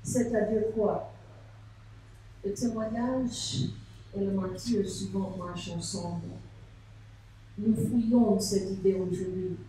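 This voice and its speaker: A woman's voice: medium pitch, steady tone, slow pace, pauses often, warm tone, slight background noise. A woman pastor, preaching a sermon and telling a story with rhetorical questions. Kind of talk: sermon at a seminar. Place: large echoey church hall. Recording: echoey hall from far off.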